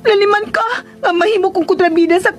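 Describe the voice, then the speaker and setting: A woman's voice: very high-pitched, quick pace, soft background music. A woman playing a chatty, deferential young maid with a Filipino accent in a radio drama, hesitant and whiny as she pleads. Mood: whiny, pleading.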